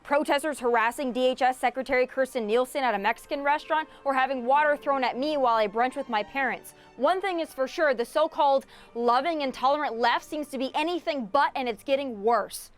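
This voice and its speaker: A woman's voice: high voice, brisk, hardly any pauses, soft background music. A woman delivering scripted political commentary in an indignant, scolding way.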